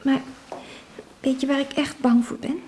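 Anxious, high-voiced teenage girl. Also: steady tone, warm tone, a little hiss or hum. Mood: anxious confiding. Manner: rambling, halting, confiding. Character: anxious, talkative teenage girl, neglected and longing for independence. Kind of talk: radio drama acting, naturalistic dialogue.

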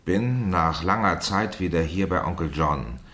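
Deep-voiced, animated investigator. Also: male voice, unhurried. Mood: reading, dry. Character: wry investigator reading aloud a girl's diary. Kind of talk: 1970s German radio crime drama acting.

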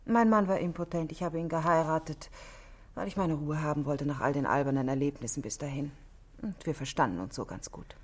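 A married woman under police questioning in a 1960s German radio drama. Her voice is low for a woman. She stays controlled and matter-of-fact, setting out each detail with cool precision. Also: a little fast, breathy edge.

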